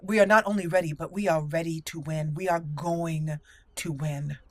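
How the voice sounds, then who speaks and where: A woman's voice: sits low, steady tone, normal speed. A woman hosting a let's play, playfully moving between in-character lines and casual commentary. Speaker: gaming channel host. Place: indoors, game audio behind.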